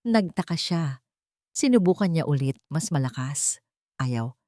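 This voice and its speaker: Low-voiced woman narrating a dramatic story. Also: animated, unhurried, mellow, no echo, clean studio sound.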